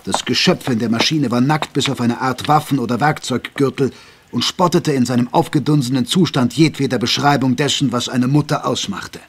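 A man's voice: medium pitch, fairly quick, slightly rough. A man delivering scripted, dramatic narration for an audio drama. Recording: music bed under narration.

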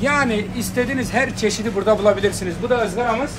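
A man's voice: high-pitched, racing. A man, a restaurant co-owner and grill cook, chatting on camera and eagerly listing his dishes. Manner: eager, promotional, lists dishes. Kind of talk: casual on-camera chat. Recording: noisy outdoor recording.

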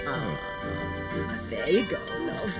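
A woman's voice: low-pitched, sing-song, slow, full character voice. An actress playing a fussy, effusive older lady who dotes on a cat in a cartoon, with theatrical cooing. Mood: gushing, grateful. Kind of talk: cartoon voice acting. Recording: loud cartoon music underneath.

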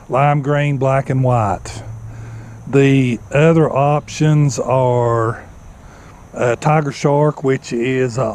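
A man, vlogging in plain, unhurried talk with lots of "uh"s, filmed on an action camera in his car and his yard. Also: medium pitch. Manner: slow, plainspoken, many fillers. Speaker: fishing kayak vlogger. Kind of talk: casual vlog talk. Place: in a car while driving, later outdoors in a yard. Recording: loud road and outdoor noise. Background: car and outdoor ambience.

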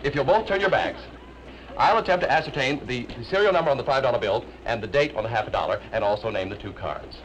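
A man's voice: medium pitch, fast talker, a bit bright, close to an everyday voice, poor audio. A young man playing an earnest, flustered traveler showing off card tricks in a 1940s film. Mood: eager. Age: young adult. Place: studio set of a train club car. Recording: hissy old film soundtrack.

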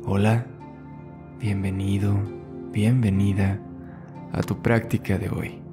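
A man leading a guided meditation as an instructor, soothing and unhurried, speaking in short phrases with long pauses between them. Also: lowish voice, flat delivery, music in the background. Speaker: yoga and meditation instructor, host.

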